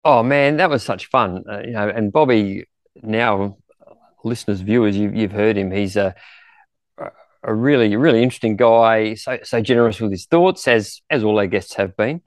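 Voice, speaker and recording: A man's voice: on the low side, normal speed, no echo. A man co-hosting a podcast, reflecting appreciatively and casually, often repeating words as he goes. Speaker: podcast co-host.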